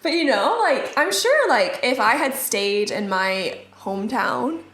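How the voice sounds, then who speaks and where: A woman in her twenties co-hosting a podcast, gently reassuring and leaning on casual fillers. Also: on the high side, sing-song, unhurried. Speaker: podcast co-host. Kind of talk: casual advice chat.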